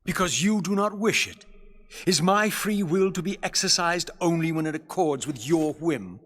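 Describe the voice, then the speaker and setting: An actor playing an embittered undead wraith in a video game, defiant and sardonic, with biting formal diction. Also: male voice, fairly high pitch, moderate pace, a bit bright. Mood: bitter, accusing. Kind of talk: dramatic video game cutscene acting.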